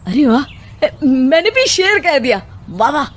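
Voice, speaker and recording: A woman's voice: sits high, sing-song, a little slow. A woman voicing an easygoing, dismissive husband in a comic story, speaking in a casual, breezy manner. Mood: dismissive, amused. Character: easygoing husband, adult man, dismissive and teasing.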